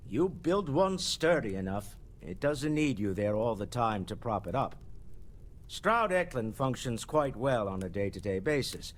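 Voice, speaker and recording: An actor voicing a genteel, wealthy businessman in a video game, speaking in a measured, self-assured and eloquent way. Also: medium pitch, a bit bright. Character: genteel wealthy older businessman turned philanthropist. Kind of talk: scripted video game dialogue.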